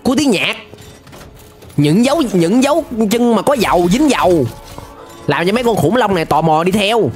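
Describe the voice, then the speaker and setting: A young adult man giving animated, exclamatory reaction commentary in slangy speech, close on a desk microphone. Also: high voice, moderate pace, long pauses, faint music behind. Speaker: reaction video host. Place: small indoor room, webcam setup.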